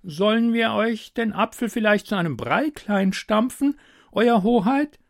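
Casting: a man putting on a gaunt, skeletal royal advisor, high and strained, lively up-and-down delivery. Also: a little slow, vocal fry, no echo, studio quality, full character voice. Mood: alarmed.

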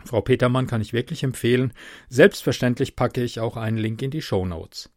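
A man from the Mannheim area with a softened Palatine German accent, hosting a casual podcast and reading a literary anecdote aloud. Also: medium pitch, no echo, clean studio sound. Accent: Palatine German, softened by speech training. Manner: chatty, unhurried, reads with dramatic emphasis. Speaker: podcast host and reader.

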